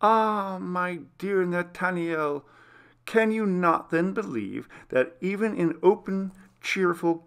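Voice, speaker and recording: A man reading a classic Gothic story aloud as scripted narration, in a measured, formal literary manner. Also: a little high, fairly bright tone, smooth. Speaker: audiobook reader.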